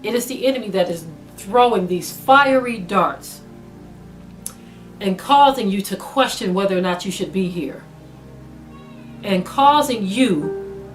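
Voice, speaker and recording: A woman preaching a sermon to an online audience, earnest and exhorting, slowing down to stress her points. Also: mid-range voice, rough recording. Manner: earnest, exhorting, slow and emphatic with rising intensity. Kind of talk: spoken sermon to a video audience.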